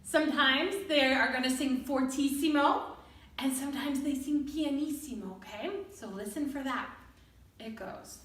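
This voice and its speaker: A woman hosting a children's storytime, reading and talking to young listeners in an expressive way. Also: sits high, normal speed. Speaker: storyteller and children's session host. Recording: roomy, distant microphone.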